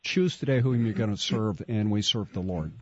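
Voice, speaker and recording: A man's voice: fairly low pitch, moderate pace, darkish tone, slightly rough, dry recording, lo-fi sound. Jokey male radio host. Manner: jokey, laughs often.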